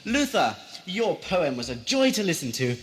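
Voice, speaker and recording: A man's voice: high voice, big pitch swings, moderate pace. A man playing a flamboyant, menacing mad lord holding court in an audio drama, theatrical and grandiose. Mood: indulgent, mocking. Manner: theatrical, grandiose, swooping from cooing to shouting. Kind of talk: amateur audio drama acting.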